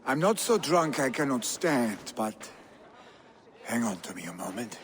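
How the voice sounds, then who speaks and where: An actor playing a grieving, battle-hungry Viking jarl's son and reluctant heir in a video game, with declamatory delivery. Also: male voice, mid-range voice, big pitch swings, measured pace, slight background noise. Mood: troubled. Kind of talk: dramatic video game acting.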